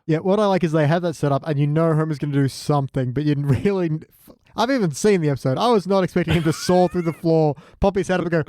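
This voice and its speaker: A man with a slightly high voice chats casually as a guest on a review podcast. He banters in a wry, playful way. The recording is dry, with little room sound. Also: fast talker, mellow, no echo. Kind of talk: casual chat.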